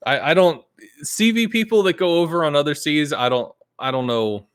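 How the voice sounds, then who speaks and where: A man hosting a casual gaming podcast, laid-back and profane, who jumps into high yelled voices when quoting other players. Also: normal speed, smooth. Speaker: podcast host.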